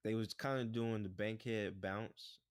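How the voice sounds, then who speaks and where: A man co-hosting a podcast in relaxed, rambling casual chat, often saying "bruh" and "like". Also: fairly low pitch, measured pace, smooth, dry recording, studio quality. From United States. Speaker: podcast co-host.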